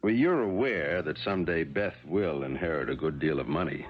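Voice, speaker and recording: A genial rich middle-aged dad, deep voice, asks careful questions, old radio drama, muffled. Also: male voice, breathy edge. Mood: probing. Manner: urbane, deliberate, questioning. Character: genial but probing wealthy father. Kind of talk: 1970s radio drama acting. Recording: dull 1970s radio recording.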